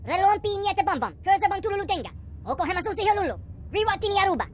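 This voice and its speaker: An actor voicing a small excitable alien creature in a video game, squeaky and babbling in a made-up tongue. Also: slight background noise. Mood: excited chatter. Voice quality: squeaky, cartoonish. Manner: rapid chattering babble. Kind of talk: video game character acting. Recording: music behind the voice.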